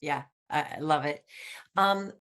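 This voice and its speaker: A woman's voice: low voice, unhurried, a bit bright. A woman hosting a casual interview podcast, who listens and affirms her guest with encouraging remarks before asking questions. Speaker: podcast host.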